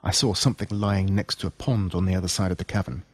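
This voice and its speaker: Husky Australian male horror narrator. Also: deep voice, steady tone, quick pace, darkish tone, no room sound, studio quality. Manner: dramatic, suspenseful storytelling. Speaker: audiobook narrator. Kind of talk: scripted first-person horror story narration.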